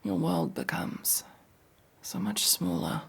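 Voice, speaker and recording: A man playing a guarded, world-weary elf mercenary, centuries old but young-looking, in an intimate, brooding ASMR fantasy roleplay with a breathy, gravelly, halting delivery. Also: natural voice. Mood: brooding, wistful. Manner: brooding, halting, often dropping to a whisper.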